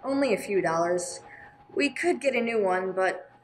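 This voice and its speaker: A woman playing a gentle, earnest, sorrowful nun in a radio play, speaking with some hesitation. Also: medium pitch, lively intonation, moderate pace, close to an everyday voice, rough recording. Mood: wistful, sad. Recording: clear background noise, room ambience.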